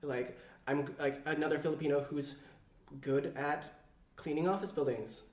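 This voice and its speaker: A male guest in a casual chat, speaking in a halting, hesitant way. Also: fairly high pitch, fairly even intonation, a little mellow. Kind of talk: casual conversation. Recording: roomy echo, distant from mic.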